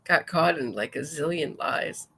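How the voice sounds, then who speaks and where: A woman's voice: deep voice, expressive, a little slow, vocal fry, a bit bright, breathy edge. A woman hosting a true crime talk show, commenting casually and reading messages aloud, often digressing and correcting herself as she goes. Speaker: podcast host.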